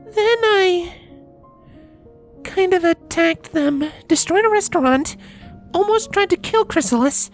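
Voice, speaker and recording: A man's voice: very high voice, animated, takes it slowly, pauses often, soft background music, pitched above the performer's own voice, full character voice. A man voicing a sulking female pony princess, whining and mumbling through a fan fiction story. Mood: sheepish confession.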